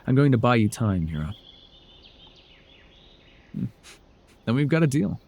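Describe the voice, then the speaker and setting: Man acting as a husky, theatrical, sardonic supervillain. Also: medium pitch, big pitch swings, unhurried. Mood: smug, softly teasing. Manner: theatrical, sardonic, swinging between soft murmurs and emphatic outbursts. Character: proud, flustered telepathic supervillain man with a hidden soft spot. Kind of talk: ASMR roleplay voice acting, intimate dramatic monologue to the listener.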